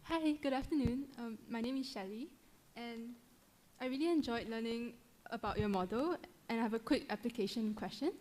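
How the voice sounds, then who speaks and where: A woman's voice: on the high side, moderate pace, darkish tone. A woman from the audience politely introducing herself and asking a lecturer a question. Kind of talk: question from the floor.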